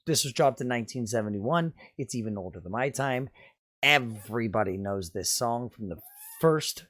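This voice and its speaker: Deep, raspy-voiced man reacting with enthusiasm. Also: expressive, normal speed, a bit bright, no room sound. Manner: enthusiastic, chatty, with fillers. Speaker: music reaction streamer. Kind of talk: casual reaction commentary.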